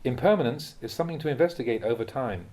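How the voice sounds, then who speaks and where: Man reading spiritual teachings, measured delivery. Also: mid-range voice, lively intonation, warm tone. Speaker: reader of translated Buddhist teachings. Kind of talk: audiobook-style reading of spiritual talks.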